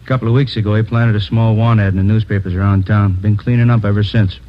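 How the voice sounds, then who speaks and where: A man's voice: lowish voice, steady tone, fast talker, lightly acted. A man acting a matter-of-fact police detective in a 1950s radio drama, casual and clipped. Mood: brisk. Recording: muffled, noisy old radio recording.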